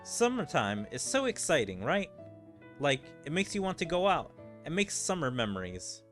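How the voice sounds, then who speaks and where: A man's voice: a little high, sing-song, moderate pace, fairly bright tone, soft background music. A man voicing a brash, playful young female demon lord from an anime game, devoted to a best friend. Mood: excited. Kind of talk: amateur read-aloud of anime game dialogue.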